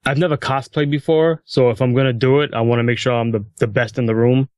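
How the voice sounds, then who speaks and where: A man's voice: medium pitch, fairly even intonation, fast, a little mellow, dry recording. A man speaking casually and earnestly as a guest on a podcast. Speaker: podcast guest, prop maker. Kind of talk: casual interview chat.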